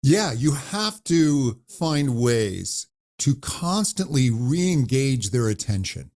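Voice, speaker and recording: A man who coaches voices, talking animatedly in an interview and demonstrating high and low pitch as he explains. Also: sing-song, takes it slowly, a little mellow. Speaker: voice coach guest. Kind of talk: conversational interview.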